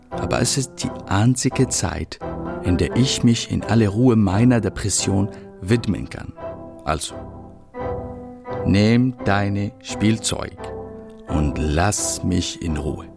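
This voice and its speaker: A man's voice: fairly low pitch, gravelly. An actor playing a depressed, wry man in a radio drama, grumbling with dry mock formality as he confides in someone. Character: depressed, wry Arabic-speaking man confiding grumpily in a dog. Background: music.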